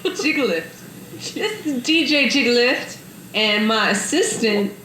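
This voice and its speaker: Woman putting on slow, goofy radio DJ, old cassette. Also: a little high, lively intonation, pushed and bright, heavily acted. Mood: goofy announcing. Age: young adult. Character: goofy drawling morning radio DJ on an alien planet. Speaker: amateur radio DJ. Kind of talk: improvised mock morning radio show. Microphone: karaoke cassette recorder. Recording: noisy roomy old home cassette recording.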